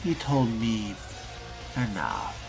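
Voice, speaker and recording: Slow, deep-voiced young vampire played by a man. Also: huge pitch swings, creaky, over music, full character voice. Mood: guarded, curious. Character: male newly turned vampire, guarded and terse. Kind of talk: role-play of a video game character.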